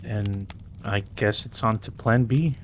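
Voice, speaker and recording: Man playing a low-voiced, cocky, flirtatious mafia boss. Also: a little slow, lightly acted. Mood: confident, plotting. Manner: smooth-talking, teasing, possessive, self-assured. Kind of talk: intimate romantic audio roleplay acting.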